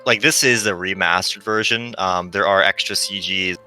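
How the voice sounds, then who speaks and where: A man's voice: deep voice, fairly even intonation, normal speed, sharp tone, clear, resonant tone, soft background music, poor audio. A man in his twenties chatting casually as a guest game developer about his work. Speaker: visual novel developer, guest.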